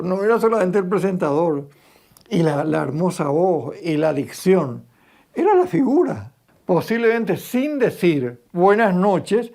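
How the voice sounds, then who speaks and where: A man's voice: sits high, a little mellow. An older man with a Paraguayan accent recounting anecdotes in an interview, adding small tag questions for agreement. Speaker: interviewee, cultural radio broadcaster.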